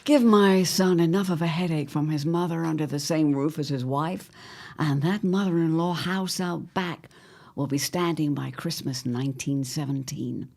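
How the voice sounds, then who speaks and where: Woman acting a creaky, scheming mother-in-law. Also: low voice, normal speed, darkish tone. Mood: taunting. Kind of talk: audio drama acting.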